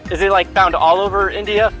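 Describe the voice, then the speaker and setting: A man's voice: high voice, steady tone, fairly quick, sharp tone, soft background music. A man presenting an educational video, narrating and asking curious questions. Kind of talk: scripted narration and on-site questions.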